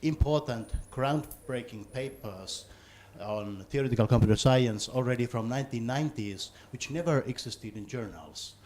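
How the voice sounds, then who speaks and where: Man in the audience, mid-pitched, making a careful point from the floor. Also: moderate pace, a little mellow. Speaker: audience member asking a question. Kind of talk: question from the floor.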